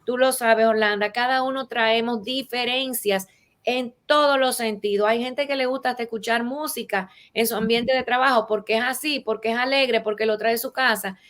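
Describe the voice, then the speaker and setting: A woman's voice: fairly high pitch, steady tone, normal speed, fairly bright tone. A woman with a Latin American Spanish accent, speaking as an expert guest in an interview and explaining rules with practical examples in a didactic way.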